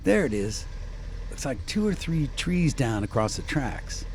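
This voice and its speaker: An actor playing an easygoing, watchful small-town doctor in a radio drama, with a West Virginia accent. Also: male voice, mid-range voice, very animated, unhurried, slight background noise. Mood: wary. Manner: folksy, conversational.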